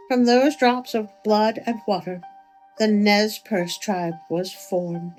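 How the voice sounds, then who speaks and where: A woman narrating a folk tale as a storyteller, with expressive delivery. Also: mid-range voice, rough recording. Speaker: storyteller, host of a story channel. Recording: music under the voice.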